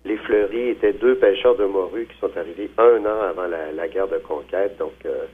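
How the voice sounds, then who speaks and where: A man's voice: deep voice, moderate pace, husky. A man, a novelist, speaks hesitantly in a phone interview, with many fillers and restarts. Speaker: novelist guest. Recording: tinny phone line.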